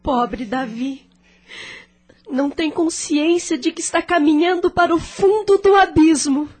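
An actress playing a patient, caring woman in a radio drama, earnest and gently reproachful, with a Brazilian accent. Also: high-pitched, a little slow. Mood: sorrowful. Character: caring, patient, worried woman pleading with troubled man.

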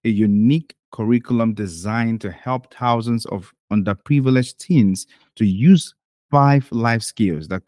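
A man with a low-pitched voice works as a podcast host. He presents and interviews in a fairly formal way. The recording is dry, with very little echo. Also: darkish tone. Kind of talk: hosting, introduction and interview.

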